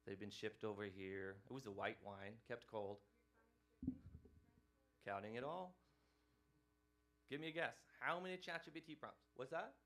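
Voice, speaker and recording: A man from Norway speaks as he lectures a live audience. His voice sits in an ordinary middle pitch. He talks casually, tosses questions to the room and ends many points with "right?". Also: moderate pace, long pauses, a bit bright. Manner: conversational, keeps asking "right?" and questioning the audience.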